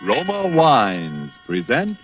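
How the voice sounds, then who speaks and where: An American man announcing a scripted 1940s radio advertisement in a formal, measured, emphatic sales manner. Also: medium pitch, wildly swooping pitch. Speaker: sponsor announcer. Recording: vintage 1940s radio, faint music.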